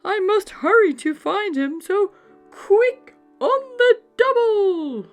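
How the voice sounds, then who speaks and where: A woman's voice: extremely high pitch, animated, faint music behind, pitched above the performer's own voice, full character voice. A woman playing a theatrical, upset old Santa. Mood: worried, pleading. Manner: theatrical, exclaiming, drawn-out words. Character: upset, worried old Santa, elderly man fretting over reindeer.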